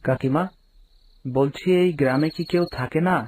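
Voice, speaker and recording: A man's voice: mid-range voice, moderate pace, darkish tone, natural voice. A young man voicing a curious, uneasy villager returning home in a horror cartoon, musing aloud as he tells his own story. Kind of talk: cartoon horror narration and dialogue.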